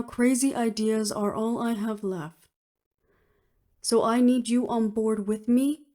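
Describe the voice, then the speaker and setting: A woman's voice: a little high, warm tone. A woman playing a cool, determined woman official in an audio roleplay, with clipped, businesslike delivery. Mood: earnest pleading. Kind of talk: scripted audio roleplay acting.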